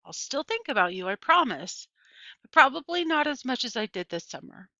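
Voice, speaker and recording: Woman reading as an earnest, bright-voiced young girl. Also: high-pitched, sing-song, normal speed, no echo, voice pushed up, heavily acted. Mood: tender, earnest. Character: earnest young Southern girl, tender and plucky. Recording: dull, lo-fi, dry close recording.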